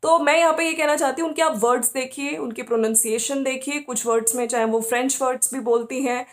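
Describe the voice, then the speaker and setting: Higher-pitched woman giving casual commentary. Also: steady tone, fast talker. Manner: conversational, often seeking agreement with tag questions. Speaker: YouTube commentary host. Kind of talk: casual opinion commentary to camera audience.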